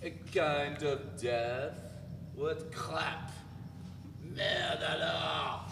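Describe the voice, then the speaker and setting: A man's voice: fairly high pitch, gravelly, full character voice. Man acting a mocking, theatrical arguer in echoey hall. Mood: scornful. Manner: sarcastic, drawn-out, sprinkles French phrases. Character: mocking, theatrical, argumentative man of the art scene. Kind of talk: dramatic literary reading before listeners. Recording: echoey hall, noisy room ambience.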